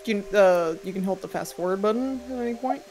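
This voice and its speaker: A woman's voice: mid-range voice, brisk, darkish tone. A woman chatting casually as she guides someone through a video game. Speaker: co-commentator guiding the player. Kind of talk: casual chat. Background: game music.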